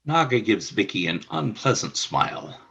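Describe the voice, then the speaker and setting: Gravelly man reading stage directions. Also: fairly low pitch, big pitch swings, normal speed, bright, forceful tone, highs cut off. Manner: plain, matter-of-fact narration. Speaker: narrator.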